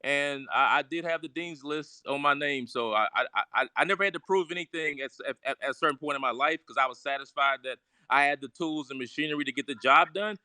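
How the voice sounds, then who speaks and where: A man's voice: on the high side, fairly quick, few pauses, sharp tone, clear, resonant tone, dry recording. A man in casual live-stream chat, rambling and reflective, laughing often. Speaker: doctor.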